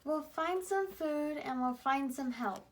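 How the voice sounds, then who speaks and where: A woman's voice: high-pitched, slow, pitched above the performer's own voice, low-quality recording. A woman voicing a bold, defiant young female mouse explorer in a children's story. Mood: eager, insistent.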